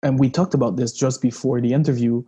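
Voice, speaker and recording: A man from Haiti whose first language is Haitian Creole, hosting an interview and asking questions in a halting way with many pauses. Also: mid-range voice, fairly even intonation, warm tone, breathy edge. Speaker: interview host. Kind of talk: interview conversation.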